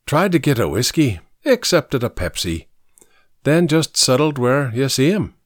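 A laconic Irish barman in an audiobook, acted by a man. He has a brogue that stretches his words, a matter-of-fact manner and an unhurried pace. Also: mid-range voice, sing-song, dry recording, studio quality. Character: laconic Irish male bartender who loves stories.

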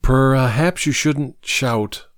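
A man's voice: medium pitch, extremely slow, dry recording. A man voicing a practical, teasing woman in short, dry replies. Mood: wry, chiding.

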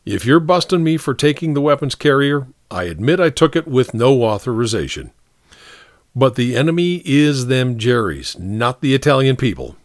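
A man's voice: medium pitch, big pitch swings, normal speed, no echo, studio quality, voice pushed up, natural voice. A man voicing a cocky, streetwise American private in an audiobook, arguing back in colloquial speech. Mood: defensive. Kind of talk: audiobook character dialogue.

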